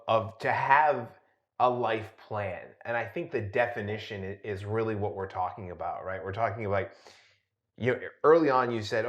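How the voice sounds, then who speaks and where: A man co-hosting a podcast, talking casually as he thinks aloud and checks for agreement. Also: lowish voice. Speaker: podcast co-host. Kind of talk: casual discussion. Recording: roomy, echoey sound.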